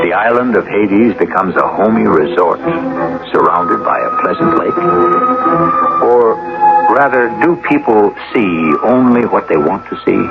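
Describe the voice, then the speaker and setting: A man narrating as a radio mystery host in a measured, portentous, theatrical style. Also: on the low side, vocal fry. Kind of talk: dramatic scripted narration. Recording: dull vintage radio broadcast. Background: prominent music.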